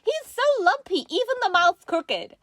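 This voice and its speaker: A woman's voice: very high-pitched, huge pitch swings, moderate pace, a bit bright, no room sound, clean studio sound. A woman hosting a casual comedic craft chat, giggly and playfully mocking as she talks. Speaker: craft show host.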